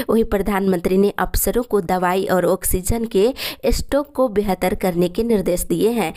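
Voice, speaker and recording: A woman news presenter reads a scripted bulletin. Her delivery is formal, and her voice sits at a medium pitch. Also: dark tone.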